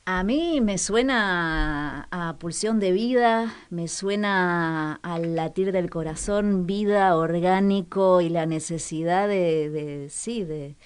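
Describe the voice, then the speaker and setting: Low-pitched, unhurried woman. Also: clean tone. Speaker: radio show co-host. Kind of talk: reflective casual radio chat.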